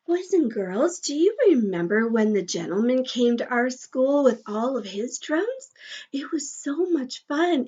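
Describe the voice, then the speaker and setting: A woman's voice: a little high, darkish tone. A woman teacher reading a picture book aloud to young children, encouraging them with questions and sing-song asides, on a slightly dull recording.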